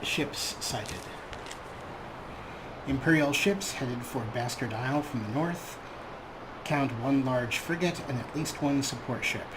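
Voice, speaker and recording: Male host, mid-pitch, relaxed wry gameplay commentary, noisy background. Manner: relaxed, wry, talks to his viewers. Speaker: let's play host.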